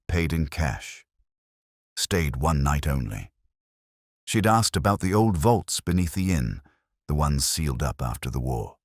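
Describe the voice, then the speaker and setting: A man narrating a scripted first-person detective story in a measured, literary, brooding way. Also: extremely low pitch, no room sound, studio quality.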